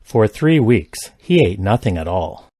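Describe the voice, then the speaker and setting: A man's voice: lowish voice, measured pace, husky, dry recording, studio quality. Man reading practice sentences twice. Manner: reads each sentence twice, careful and even. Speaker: English sentence reader. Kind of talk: slow repeated sentence reading for learners.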